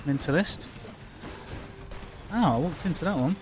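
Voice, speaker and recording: A man's voice: fairly high pitch, animated, unhurried, soft background music. A man giving casual live gameplay commentary to himself in short, muttered, sweary reactions. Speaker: game streamer. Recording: faint background noise.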